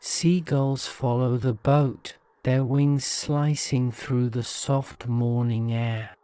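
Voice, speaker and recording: A man narrating a guided-imagery sleep story in a soothing, measured manner. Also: mid-range voice, steady tone, warm tone, clean tone, no room sound.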